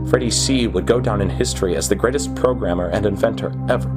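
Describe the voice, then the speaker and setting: Radio play lead: male actor as obsessive, boastful programmer, lower register, deliberate theatrical monologue over loud music. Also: lightly acted. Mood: grandiose. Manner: theatrical, grandiose, deliberate phrasing. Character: obsessive, boastful male genius programmer confessing to his beloved. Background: music behind the voice.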